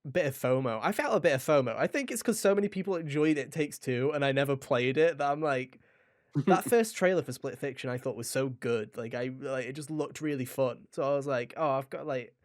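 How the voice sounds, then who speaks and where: A man with a mid-pitched voice hosts a gaming podcast. He talks informally and wanders through his thoughts as he goes. He often speaks directly to the audience in a chatty way. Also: a little fast. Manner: rambling, informal, addresses listeners directly. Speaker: podcast host. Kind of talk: casual video game podcast chat.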